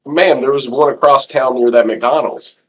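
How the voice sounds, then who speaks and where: A man voicing a smooth, flattering male cashier handling a refund. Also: mid-range voice, moderate pace, muffled recording, natural voice. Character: flirty male store cashier.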